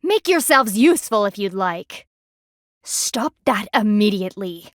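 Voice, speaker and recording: Female game character, haughty panda enforcer, formal diction, commanding, disdainful, mid-range pitch, very dry close sound. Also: big pitch swings, unhurried, no room sound. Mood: formal, stern. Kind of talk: video game voice acting.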